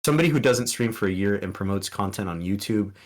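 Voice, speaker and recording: A man with a very low, deep voice whose first language is Spanish talks as a podcast guest. He speaks earnestly and loosely, restarting sentences and filling pauses with ums as he gives advice. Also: expressive. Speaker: guest streamer. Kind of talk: casual podcast interview.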